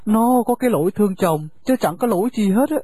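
A man's voice: sits high, animated, quick pace, dark tone, breathy edge. An actor playing a young husband who earnestly pleads with his mother to spare his wife. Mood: pleading. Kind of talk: radio drama acting.

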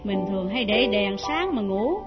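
An actress playing a dignified, long-suffering young wife in a stage melodrama, measured and plaintive, with a southern Vietnamese accent. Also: female voice, mid-range voice, animated, natural voice. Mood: gentle, restrained. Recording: dull lo-fi recording over music.

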